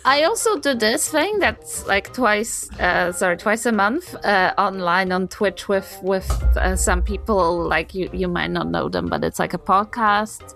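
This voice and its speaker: A woman with a noticeably creaky, fry-laden voice chats casually as one of a podcast cast. Her delivery is deadpan and low-key. There is soft music in the background. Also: measured pace, few pauses. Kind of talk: casual chat.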